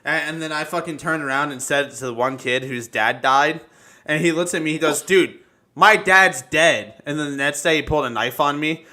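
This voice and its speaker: A man's voice: on the high side, moderate pace, sharp tone. A man in casual podcast chat, telling sweary anecdotes and repeating phrases for emphasis. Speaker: podcast speaker.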